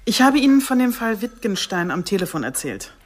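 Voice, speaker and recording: An actress playing a businesslike police chief inspector in a radio drama, sober and matter-of-fact. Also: female voice, mid-range voice, very animated, brisk.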